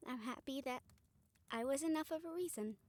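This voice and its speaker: Woman acting gentle, high-voiced supportive friend. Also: fairly quick, no room sound, lightly acted. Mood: earnest, reassuring. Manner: soft, hesitant, reassuring, earnest. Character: gentle, supportive female rehabilitator comforting a friend. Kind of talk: intimate audio roleplay acting.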